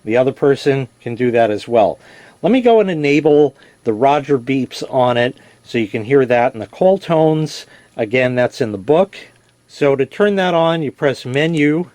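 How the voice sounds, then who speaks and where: A man talks through a hands-on product review in a casual, plain-spoken way. His voice sits at an ordinary male pitch. He explains step by step and readily corrects himself, recorded dry with very little room sound. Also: expressive, measured pace, a little mellow, dry recording. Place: indoors in a basement.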